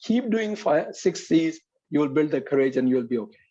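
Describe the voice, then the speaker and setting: Middle-aged guy coaching on a call, mellow husky voice, muffled lo-fi audio. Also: on the high side, expressive, normal speed. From Pakistan. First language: Urdu. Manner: earnest, emphatic, repeats key phrases. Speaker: leadership coach. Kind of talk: motivational coaching in an online session.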